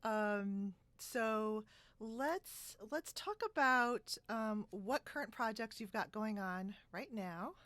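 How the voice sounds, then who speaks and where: A woman's voice: mid-range voice, takes it slowly, a bit bright, dry recording. A woman hosting a casual podcast interview from a room at home, chatty and giggly, on a video call. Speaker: podcast host.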